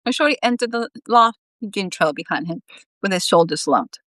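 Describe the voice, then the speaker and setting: A woman's voice: mid-range voice, expressive, brisk, a bit bright, no room sound. A woman reading a story aloud as its author, in a live reading style with casual asides.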